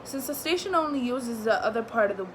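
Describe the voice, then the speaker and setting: A woman's voice: a little high, expressive, quick pace, slight background noise, close to an everyday voice. A woman playing a radio station janitor in a radio play. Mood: wary.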